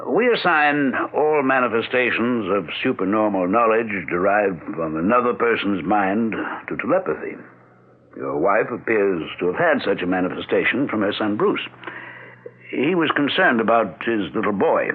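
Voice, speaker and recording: An actor playing an assured, learned doctor in a 1970s radio drama, speaking with precise, courteous, pedantic care. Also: male voice, medium pitch, lightly acted. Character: assured, learned male doctor who believes in telepathy. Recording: muffled, narrow-band 1970s radio recording.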